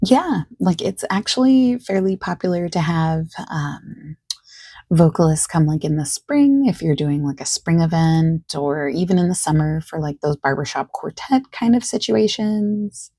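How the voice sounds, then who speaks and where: A soft-spoken woman doing an ASMR roleplay as a friendly phone salesperson, explaining things at length in a gentle, reassuring, rambling way. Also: low-pitched, expressive, a little slow, no room sound, clean studio sound. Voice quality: soft, husky, creaky.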